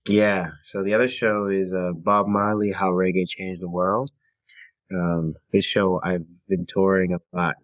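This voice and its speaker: Man, very low voice, close dry sound, halting phrasing, casual interview guest from Canada. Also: flat delivery, no echo. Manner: halting, thinking aloud in short phrases. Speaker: guest, singer-songwriter and theater performer.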